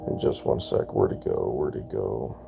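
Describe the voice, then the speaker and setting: A man with a raspy voice chatting casually while streaming gameplay and reading out viewer comments. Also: extremely low pitch, moderate pace, dull audio, music in the background. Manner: laid-back, chatty, reads out chat comments. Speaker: gaming streamer.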